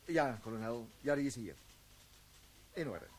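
An actor playing a flustered, evasive army captain in a radio play, hesitant and stumbling. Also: male voice, mid-range voice, expressive, unhurried, a little hiss or hum, close to an everyday voice.

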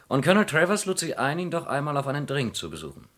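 An actor playing a polite, persistent insurance investigator in a German radio crime play, questioning courteously and deliberately. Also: male voice, on the high side, big pitch swings, fairly bright tone. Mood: courteous, probing.

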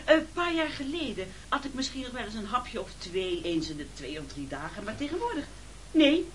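Een vrouw die in een hoorspel een quasi-bescheiden, vleierige kok speelt die aarzelend een tafelrede houdt. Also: female voice, a little high, sing-song, normal speed, slight background noise. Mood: ingratiating, nervous. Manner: hesitant, self-effacing, ingratiating. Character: falsely modest, wheedling cook pleading before diners. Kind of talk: Dutch radio play acting, speech-making.

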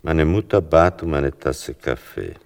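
A male actor as the grave narrator of a literary radio play, speaking in a measured, unhurried way. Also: extremely low pitch, expressive, gravelly, darkish tone, big-room sound, heavily acted.

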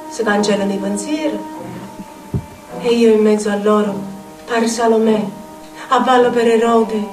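An actress playing a tormented, eccentric Neapolitan outcast haunted by spirits, in a theatrical monologue with a Neapolitan accent and incantatory, deliberate repetitions. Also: female voice, mid-range voice, close to an everyday voice. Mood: brooding, weary. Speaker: stage actress. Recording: echoey theatre audio, distant, music behind.

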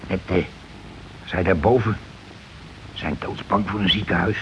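Gruff scheming husband, muffled old radio. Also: male voice, low-pitched, steady tone, a little slow, fairly bright tone. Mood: know-all. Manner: colloquial, blustering, evasive. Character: gruff working-class husband, war veteran, scheming and self-excusing. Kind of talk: Dutch radio play acting. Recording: dull, noisy old radio recording.

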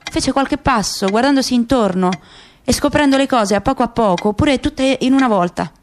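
Casting: female radio drama narrator, highish voice, literary storytelling delivery, noisy clicky recording. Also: moderate pace. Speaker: actor reading in a radio play. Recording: clear background noise with clicks.

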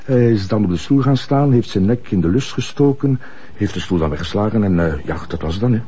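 An unhurried Flemish crime scene officer in a television drama. His voice is lowish and dark, his delivery dry and matter-of-fact. The recording is slightly muffled. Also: expressive, slightly rough. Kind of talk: naturalistic TV crime drama acting.

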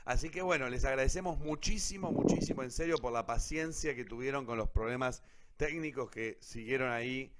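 A man's voice: medium pitch, brisk, a little hiss or hum. A man with a Rioplatense Argentine accent hosting a live radio show in a casual, informal, grateful way.

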